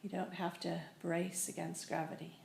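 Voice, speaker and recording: A woman teaching a guided meditation, speaking in a gentle, unhurried way with long pauses. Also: lowish voice, level tone, gravelly. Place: indoor room in a building. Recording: echoey room with faint noise.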